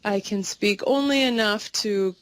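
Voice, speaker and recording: Woman, mid-pitched voice, slow and clear, dry close mic, friendly simple phrasing. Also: dry recording. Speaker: English speaker in a practice dialogue. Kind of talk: slow scripted conversation practice.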